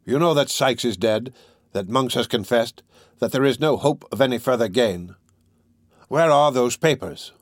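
A man voicing a solemn, earnest older gentleman in an audiobook, speaking formally, firmly and with measured insistence. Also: mid-range voice, no room sound, studio quality, lightly acted. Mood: solemn urging. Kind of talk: dramatic audiobook character reading.

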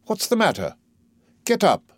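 A man's voice: high voice, wildly swooping pitch, measured pace, no echo, voice pushed up, exaggerated voice. A man playing an arrogant, impatient male professor with an imperious, condescending, exclamatory way of talking in a play reading. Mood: wrathful, impatient.

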